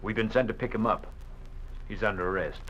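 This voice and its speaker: An actor playing a curt, official state police officer in a 1950s radio drama. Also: male voice, fairly low pitch, steady tone, a little fast, loud music behind the voice, lightly acted. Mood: stern. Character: cold state police officer making an arrest. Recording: muffled old radio transcription with hiss.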